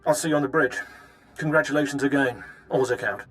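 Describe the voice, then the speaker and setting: A man's voice: medium pitch, fast, a bit bright, soft background music, lightly acted. A man playing a dutiful imperial starship captain in a science fiction game, clipped and military in manner. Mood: brisk.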